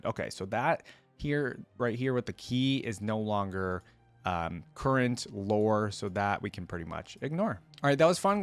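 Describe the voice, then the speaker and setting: A man's voice: lowish voice, no echo. A man hosting a reaction video, chatting casually and reading aloud with comments of his own.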